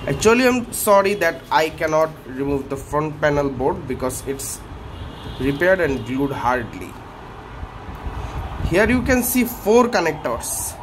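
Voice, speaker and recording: Man, tech host, unhurried halting delivery, higher-than-average voice, step-by-step teardown narration, noisy indoor recording. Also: big pitch swings. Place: small indoor workshop room.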